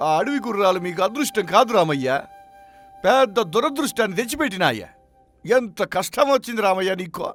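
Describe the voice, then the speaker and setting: Guy acting fretful village neighbour in a moral tale, high pitched, rustic talk, exclaims a lot. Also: expressive, a little fast, fairly bright tone, faint music behind. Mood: lamenting. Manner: rustic dialect, exclamatory, lamenting. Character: agitated, blunt village man, alarmist worrying neighbour. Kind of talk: moral-story dramatization.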